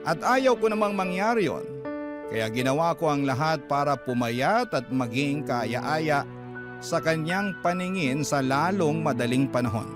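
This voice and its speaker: A man's voice: on the high side, expressive, unhurried. A Filipino man hosting a radio drama, reading a listener's letter as dramatic scripted narration. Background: music behind the voice.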